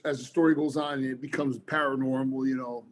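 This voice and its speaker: A man's voice: medium pitch, level tone, fairly quick. A man, a former police officer turned author, talking earnestly and rambling a little as a podcast guest. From New Jersey, United States. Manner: earnest, rambling, frequent fillers. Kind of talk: casual interview chat.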